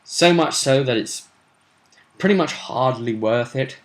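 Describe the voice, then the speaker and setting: A man's voice: medium pitch, unhurried. A man hosting a tabletop role-play vidcast as game master, casually recapping a session with rambling, chatty asides.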